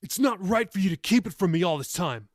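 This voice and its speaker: A man's voice: sits high, lively intonation, fairly quick, no echo, clean studio sound, pitched above the performer's own voice. A man voicing an angry, callous teenage boy who demands answers in audiobook dialogue. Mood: angry, demanding. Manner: loud, demanding, interrupting.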